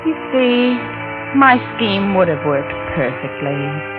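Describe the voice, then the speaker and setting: An actress playing a cold, scheming wife turned killer in a 1940s radio suspense drama, speaking with taunting deliberation. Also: female voice, lowish voice, sing-song, slow, rough recording. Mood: rueful, narrating. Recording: vintage radio recording with music behind.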